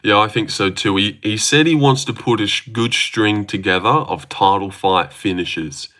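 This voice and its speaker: A man's voice: fairly low pitch, moderate pace, sharp tone. An Australian man hosting a casual livestream, answering viewer comments in a rambling, joking way with lots of fillers and restarts. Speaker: livestream host.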